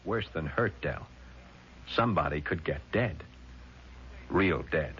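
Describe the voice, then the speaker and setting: An actor playing a shrewd, protective lawyer in 1970s radio drama, speaking in a deliberate, hard-boiled, probing way. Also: male voice, very deep voice, expressive, full character voice. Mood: cool, pressing. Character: shrewd, cool-headed male lawyer, protective and persistent. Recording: dull, noisy vintage radio recording.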